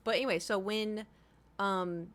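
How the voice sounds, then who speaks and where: A woman's voice: medium pitch, fairly even intonation, unhurried, fairly bright tone. A woman in her thirties chatting casually to livestream viewers, rambling informally, swearing freely and repeating herself for emphasis. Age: 30s. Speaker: livestream host.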